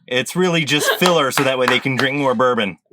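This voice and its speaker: A man's voice: medium pitch, fairly quick, very noisy. A middle-aged man improvising comic answers as a panelist on a video call with clicks. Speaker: panel show regular.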